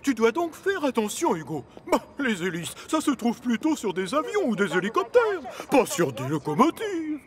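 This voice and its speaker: A cautious, fussy railway official in a children's cartoon. The voice is high and extremely animated. He talks in an official, admonishing way. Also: normal speed, few pauses, heavily acted. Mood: cautioning. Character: fussy, cautious adult male railway inspector.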